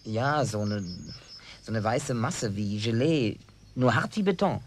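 A lively male voice with big swings in pitch, an actor playing an excitable, boyish fibber in a radio drama. He talks colloquially and insistently. He is eager and boastful, with a slippery, evasive streak. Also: measured pace, a little hiss or hum, natural voice. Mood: casual, eager. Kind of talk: German radio drama acting, naturalistic dialogue.

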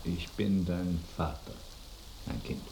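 Ghostly consoling old father, low, creaky, slow, radio drama, faint sizzling noise. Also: flat delivery, full character voice. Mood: tender, ghostly. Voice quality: gravelly.

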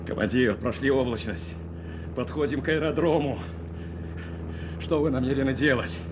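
An actor playing a devoted military aircraft navigator in an audio drama, giving clipped commands and reassurances. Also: male voice, mid-range voice, moderate pace, lightly acted. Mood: concerned, respectful. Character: military aircraft navigator guiding his wounded commander to land, urgent and devoted. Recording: loud engine noise behind the voice.

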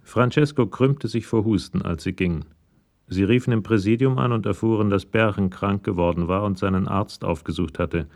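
A man's voice: on the low side, normal speed, darkish tone. A man reading scripted narration for a radio crime drama. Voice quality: gravelly.

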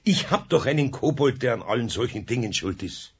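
A man playing a good-natured older master carpenter in a 1970s children's radio play, with a Bavarian accent, a husky voice and an exasperated, folksy, scolding manner. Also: mid-range voice, lively intonation, brisk. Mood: wry, placating. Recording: dull vintage radio play recording.

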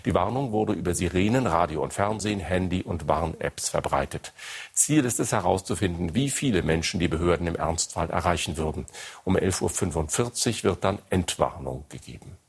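A man's voice: deep voice, animated, brisk, husky. A man reading a scripted radio news bulletin and weather forecast as a newsreader.

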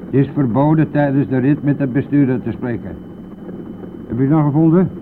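An actor playing a gruff, impatient, talkative country bus driver in a radio play, brusque and easily exasperated. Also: male voice, medium pitch, a little fast, natural voice. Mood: gruff, boastful. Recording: noisy, thin, phone-like old radio recording.

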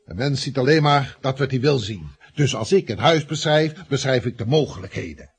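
An actor playing a stern, self-satisfied estate agency boss in a radio play, lecturing pompously. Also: male voice, mid-range voice, lively intonation, normal speed, slightly rough. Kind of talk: Dutch radio drama acting.

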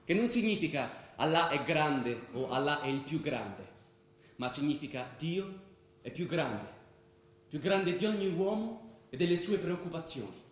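Stage drama: frank, practical believer, slightly high voice, instructive, heavy echo. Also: male voice, very animated, unhurried. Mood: frank, emphatic. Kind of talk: theatrical radio drama dialogue. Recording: very echoey stage, faint rumbling noise.

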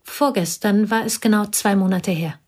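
A woman playing a reserved schoolteacher, the dead wife's confidante, in a radio play, speaking in a calm, measured way. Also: mid-range voice, breathy edge, lightly acted. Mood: restrained. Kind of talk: radio drama acting.

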